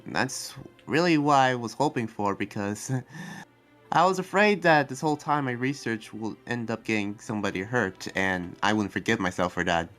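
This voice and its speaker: A man playing an earnest, soft-hearted demon researcher in an improvised anime roleplay, speaking in a halting, rambling way. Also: lowish voice, close to an everyday voice. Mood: reflective, relieved. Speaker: roleplay player. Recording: background music under the voice.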